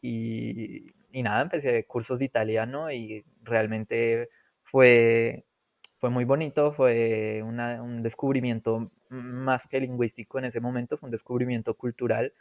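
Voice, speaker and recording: A Colombian man in his twenties, a podcast guest telling his story in a relaxed way, laughing often and pausing to reflect. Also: medium pitch, no echo, muffled recording. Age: 20s. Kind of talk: casual interview storytelling.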